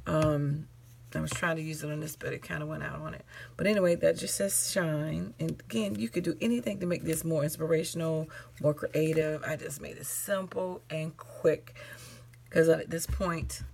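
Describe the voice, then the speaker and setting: A woman hosting a crafting video, chatting casually with a raspy, tired late-night voice and rambling, self-correcting asides. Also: sits low, steady tone, moderate pace, darkish tone, slight background noise. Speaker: crafting channel host. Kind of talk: casual show-and-tell crafting chat.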